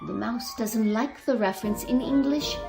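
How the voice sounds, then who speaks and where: A woman's voice: on the high side, normal speed, creaky, over music. A woman giving scripted storybook narration as a narrator in a radio play.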